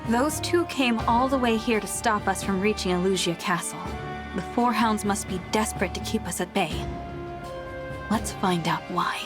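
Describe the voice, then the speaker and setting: A woman voicing an earnest, kind young heroine and leader in a video game, sincere and gentle. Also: mid-range voice, moderate pace, over music, close to an everyday voice. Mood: resolute. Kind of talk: video game cutscene voice acting.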